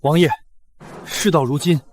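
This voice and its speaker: An actor playing a remorseful disgraced general in a costume short drama, solemn and beseeching, with music behind. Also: male voice, on the high side, fairly even intonation, moderate pace, a little mellow, a little hiss or hum, lightly acted. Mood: remorseful, confessing. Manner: earnest, solemn, pleading. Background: dramatic background music.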